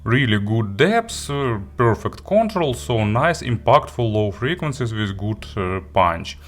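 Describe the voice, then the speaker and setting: A man who is not a native English speaker gives an unscripted product review, haltingly, often searching for words and rephrasing. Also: mid-range voice, sing-song. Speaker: audio gear reviewer and host. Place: indoor studio.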